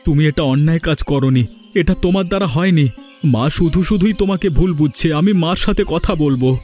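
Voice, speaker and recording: A protective, kindly husband in a cartoon played by a man. His voice is fairly high for a man, earnest and troubled. Faint music plays underneath. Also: brisk, warm tone, close to an everyday voice. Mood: reassuring, concerned. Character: kind, worried husband and protective elder brother. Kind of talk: cartoon voice acting.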